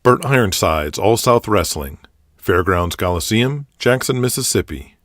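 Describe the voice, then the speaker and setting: A man narrating a scripted audio drama with dramatic, measured storytelling. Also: on the low side, animated, fairly bright tone, no echo, clean studio sound.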